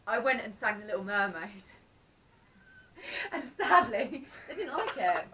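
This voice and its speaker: A woman's voice: medium pitch, very animated, unhurried, bright, forceful tone. A young woman interviewing a guest in a chatty, upbeat and playful way. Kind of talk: casual interview. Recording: distant mic with faint ambient noise at a mall event.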